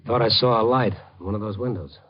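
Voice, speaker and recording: Actor as a wry, hard-boiled radio hero. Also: male voice, on the low side, fast talker, natural voice. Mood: wary, alarmed. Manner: dry wisecracks, hard-boiled and insistent. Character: wry, wisecracking tough male newsman hero, streetwise. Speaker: lead character and narrator. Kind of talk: 1950s radio noir acting and narration. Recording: dull, band-limited 1950s radio recording.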